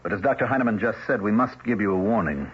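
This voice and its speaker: An actor playing a stern male village elder in an old-time radio drama, formal, measured and quietly menacing. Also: lowish voice, animated, a little hiss or hum, lightly acted. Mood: cold, authoritative. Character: stern, controlled village elder laying down law. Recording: dull vintage radio recording.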